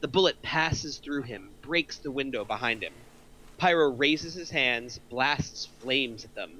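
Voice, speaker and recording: A man's voice: mid-range voice, expressive, measured pace, bright, forceful tone, slight background noise, rough recording. A middle-aged man reading out screenplay action lines as a narrator. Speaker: narrator of a script table read.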